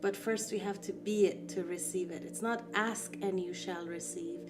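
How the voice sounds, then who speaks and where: A woman whose first language is Farsi, giving a calm, reflective guided art lesson with soft emphasis. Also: lowish voice, normal speed, over music. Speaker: art therapy instructor.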